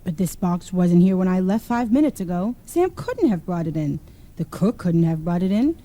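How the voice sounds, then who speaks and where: An actress playing a sharp-tongued, sour, no-nonsense wife with a scolding, dismissive manner in old-time radio comedy style. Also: female voice, lowish voice, lively intonation, moderate pace, natural voice. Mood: puzzled, insistent.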